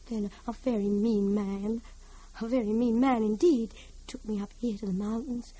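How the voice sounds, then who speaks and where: High, husky, haunted mother. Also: darkish tone, rough recording. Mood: mournful. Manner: theatrical, wheedling, drawn-out pleading. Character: haunted, grieving older mother, fragile and unhinged. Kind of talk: old-time radio drama acting.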